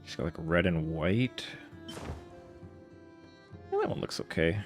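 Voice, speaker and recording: A man's voice: deep voice, unhurried, soft background music. A man giving casual, wryly joking commentary during a live game playthrough. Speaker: game streamer.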